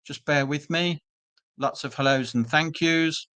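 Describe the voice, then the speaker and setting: A man's voice: on the high side, unhurried, pushed and bright, clear, resonant tone, no room sound. A British man presenting an online webinar for a business school, chatty and reassuring with frequent ums and uhs, speaking over a video call. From Britain.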